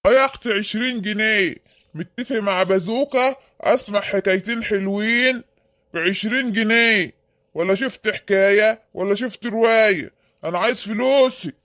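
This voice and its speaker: A man acts out an impatient little Egyptian grouch from a comic cartoon. His voice is high and closely recorded with no room sound, and he complains in a pushy, confrontational way. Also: fairly quick, a bit bright, no echo, clean studio sound. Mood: angry, demanding. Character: grumpy, impatient, demanding flea neighbour.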